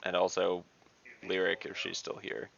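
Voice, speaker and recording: A man's voice: deep voice, completely flat delivery, moderate pace, gravelly, a bit bright, dull audio. A man playing a tabletop game online, chatting casually as he speaks for his character. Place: online voice call.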